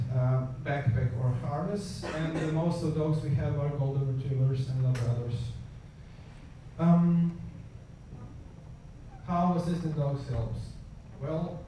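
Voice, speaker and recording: A man from Croatia with a Croatian accent, speaking English as a second language and giving a conference presentation, halting often with fillers and restarts. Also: fairly high pitch, mellow, a little hiss or hum. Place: conference hall. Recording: echoey hall, voice far from mic.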